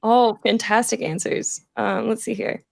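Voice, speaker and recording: A woman's voice: on the high side, steady tone, measured pace, vocal fry, no echo. A woman hosting a theater live-stream chat, talking casually in an informal, wry way.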